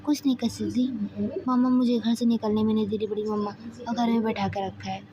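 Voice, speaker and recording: A woman's voice: a little high, flat delivery, brisk, noisy background, close to an everyday voice. A woman voicing a sulky, restless young woman who complains about being kept at home.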